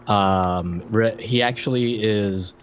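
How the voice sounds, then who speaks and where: A man's voice: lowish voice, slow pace, highs cut off, a little hiss or hum. A man co-hosting a podcast, chatting casually about film and television with wry, joking asides. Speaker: podcast co-host.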